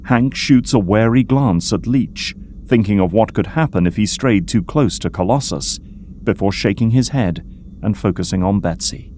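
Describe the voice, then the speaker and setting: A man narrating an audio drama from a script in measured storytelling prose. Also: fairly low pitch, a little mellow, a little hiss or hum.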